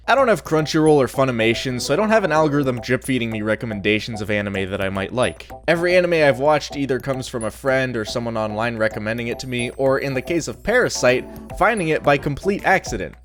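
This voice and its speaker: A man's voice: mid-range voice, a bit bright. A young man narrating a scripted video review in a casual, blunt, sardonic way. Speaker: anime reviewer. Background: faint music.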